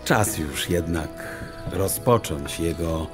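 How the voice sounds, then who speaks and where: A man narrating a children's audiobook in a literary, theatrical, deliberate storytelling manner. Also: sits low. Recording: music under the voice. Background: music.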